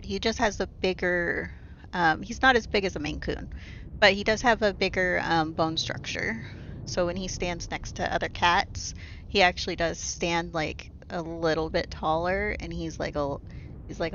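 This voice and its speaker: A woman's voice: lowish voice, muffled recording, slight background noise. A woman streamer reading a story game aloud into a desk microphone at home, chatting casually with lots of "like" and "um" fillers. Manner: casual, soft-spoken, full of "like" and "um" fillers.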